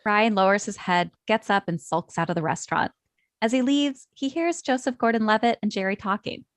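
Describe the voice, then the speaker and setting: A woman reads stage directions as narrator for a script table read. The sound is dry and close, with a medium pitch. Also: fairly quick, no echo.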